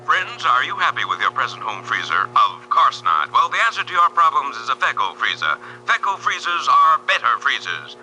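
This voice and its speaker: Pushy vintage ad announcer, extremely bright and forceful, animated pitch, faint music. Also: male voice, moderate pace, hardly any pauses, lo-fi sound. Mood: insistent, salesy. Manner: insistent, slogan-like. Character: pushy, hard-sell freezer commercial pitchman. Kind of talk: 1950s radio advertisement delivery.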